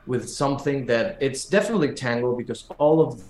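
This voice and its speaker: A man from Argentina with an Argentine accent, a native Spanish speaker, answering as an interview guest in a halting, conversational way with repeated words. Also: medium pitch, expressive, a little mellow, a little hiss or hum. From Argentina, raised in Buenos Aires. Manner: halting, repeats words as they search for phrasing. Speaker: musician guest, pianist and teacher. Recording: echoey room sound.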